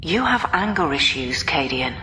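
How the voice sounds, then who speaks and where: Low-voiced, clinical doctor. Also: female voice, very animated, a crowd in the background. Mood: calm, probing. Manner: measured, detached, controlled. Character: calm, clinical female quarantine doctor, patient but firm. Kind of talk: science fiction radio drama acting.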